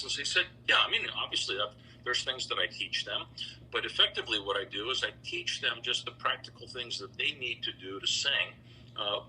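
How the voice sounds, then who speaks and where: A man's voice: medium pitch, sharp tone, a little hiss or hum, rough recording. A middle-aged man telling anecdotes conversationally as an interview guest, voicing the dialogue he recalls. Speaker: interview guest, businessman and choral bass singer.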